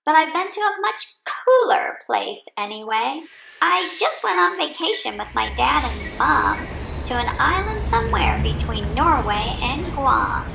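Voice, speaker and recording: A woman's voice: high voice, big pitch swings, a little slow, bright, forceful tone, pitched above the performer's own voice, heavily acted. A woman voicing an imaginative, cheeky young schoolboy who breezily tells tall tales in a picture book read-aloud. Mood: exuberant, dreamy. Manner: breezy, confident, exuberant. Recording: roomy sound under clear music.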